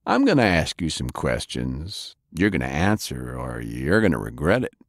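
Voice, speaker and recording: Man reading tough-guy interrogator, rough drawl, slow menace, lively delivery, dry studio sound. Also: extremely low pitch, no room sound, pitched below the performer's own voice, exaggerated voice. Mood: menacing. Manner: slow, threatening, sardonic.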